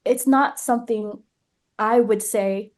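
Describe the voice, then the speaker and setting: A woman's voice: on the high side, steady tone, slow pace, a little mellow. A young woman who grew up in the United States, chatting casually as an interview guest, laughing often and restarting her phrases. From born in Vietnam, grew up in Nashville, USA. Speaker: guest actress.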